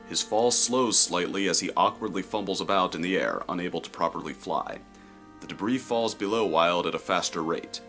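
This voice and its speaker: Really deep guy narrating a story, bright punchy tone, measured delivery, bit of music behind. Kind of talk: scripted present-tense story narration.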